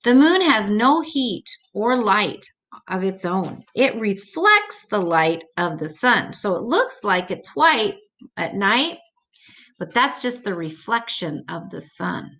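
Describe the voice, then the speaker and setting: A woman's voice: mid-range voice, big pitch swings, takes it slowly, a bit bright. An older woman reading an educational children's book aloud, adding simple, patient explanations as she goes.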